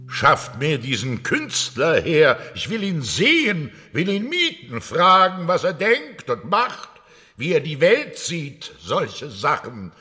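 A man's voice: fairly high pitch, huge pitch swings, slow, bright, forceful tone, exaggerated voice. A man playing an imperious, impulsive fairy-tale king in a radio play, declaiming theatrically. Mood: commanding, eager. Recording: long hall reverb.

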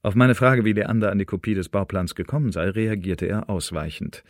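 An actor in a radio play playing an exacting secret agent who delivers a formal report in a clipped, officious manner. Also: male voice, on the low side, a little fast, dry recording, clean studio sound, lightly acted. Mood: brisk, factual.

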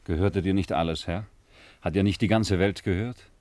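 A man's voice: low-pitched, normal speed, a little mellow, no echo, lightly acted. A man playing a deferential, devout attendant to an emperor in a 1950s radio drama, earnest and respectful.

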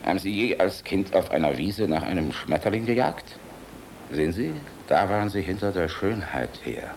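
An aging army general in a radio drama, gruff yet fatherly and weary of the world. His lowish voice swings widely as he blusters and philosophizes, turning from bark to tenderness. Faint noise sits behind him. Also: lively intonation, normal speed. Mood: earnest, fatherly. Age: older. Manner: blustery, impassioned, philosophizing, shifting between barking and tenderness. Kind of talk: German radio play acting.

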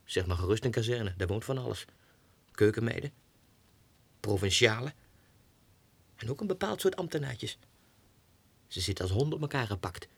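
An actor playing an unhinged, excitable low clerk who mutters haltingly to himself in a 1960s radio monologue. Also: male voice, vocal fry, husky. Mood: brooding.

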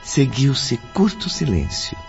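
A man's voice: medium pitch, sing-song, takes it slowly, vocal fry, highs cut off, soft background music. A man narrating a scripted radio drama as its storyteller.

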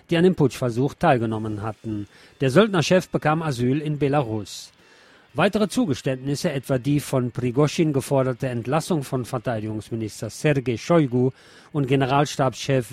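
Guy reading out news commentary, mid pitch, formal and measured, very dry recording. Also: darkish tone, dry recording. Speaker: radio news presenter.

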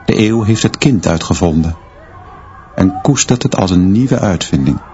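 An actor playing a stern, austere schoolteacher who narrates a radio drama in terse, measured, clipped sentences. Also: male voice, sits low, animated, mellow, faint music behind, some chatter behind. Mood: sober, reflective. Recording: dull sound, noise and murmur behind.

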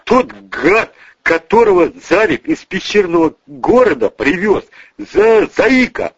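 A man's voice: mid-range voice, huge pitch swings, drawn-out words, sharp tone, no echo, lo-fi sound, pitched above the performer's own voice, full character voice. Man acting a terrified, stammering henchman. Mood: panicked. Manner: stammering, croaking. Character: terrified, breathless male bodyguard stammering bad news.

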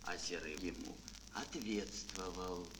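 A man's voice: sits low, slow pace, a bit bright, slight background noise, low-quality recording. A man narrating a children's fairy tale in a theatrical, declamatory storytelling style. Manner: dramatic, declamatory. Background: prominent music.

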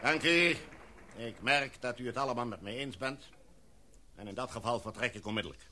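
A man's voice: mid-range voice, moderate pace, bright, forceful tone, a little hiss or hum, lightly acted. An actor playing a commanding, decisive male expedition leader in a radio play, addressing a meeting in a formal, speech-like way. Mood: measured.

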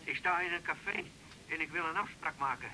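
A man's voice: fairly high pitch, fairly quick, sharp tone. An actor playing a drunk, insistent male patient speaking through a telephone effect in a radio drama. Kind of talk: 1980s Dutch radio drama acting. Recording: thin, noisy telephone sound.

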